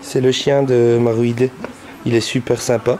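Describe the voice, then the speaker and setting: A man's voice: medium pitch, level tone, unhurried, poor audio. A man hosting a travel vlog in a chummy, exclamatory, joking way. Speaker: host of a koi-buying travel show. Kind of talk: casual vlog presenting. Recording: loud background noise, vehicles.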